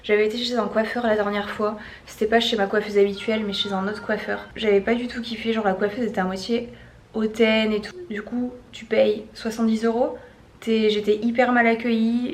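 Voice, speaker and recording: A woman's voice: fairly high pitch, flat delivery, normal speed, slight background noise. A young woman with a French accent, chatting casually to camera in a personal vlog, with lots of fillers and restarts. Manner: chatty, many fillers and self-corrections. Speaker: vlogger. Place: outdoors on walks and at home. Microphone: handheld compact camera.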